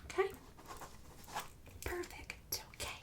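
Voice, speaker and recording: A woman playing a snobbish, bossy book-club mom in an ASMR roleplay, mostly in a breathy whisper with fussy, passive-aggressive condescension. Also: takes it slowly, recorded from a distance, close to an everyday voice. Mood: scolding, snobbish. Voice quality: breathy whisper and soft speech.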